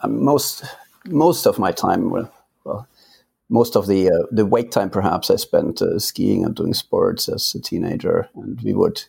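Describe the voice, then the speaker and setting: A man, speaking calmly as an interview guest in a hotel room. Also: gravelly, slightly rough. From Germany. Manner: calm, low-key, measured. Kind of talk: interview conversation.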